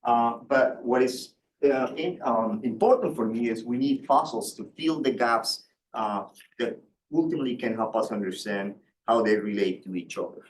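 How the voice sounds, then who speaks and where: A man's voice: mid-range voice, moderate pace, far from the mic, a little hiss or hum. Colombian man lecturing in an echoey room. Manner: hesitant, many fillers and restarts. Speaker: guest lecturer. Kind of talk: university seminar lecture.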